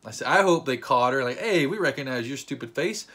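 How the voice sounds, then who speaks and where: A man's voice: medium pitch, a little fast, slightly rough. Jokey man telling a fan story. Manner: playful, jokey, animated retelling with acted quotes. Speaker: vlogger. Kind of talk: casual storytelling vlog.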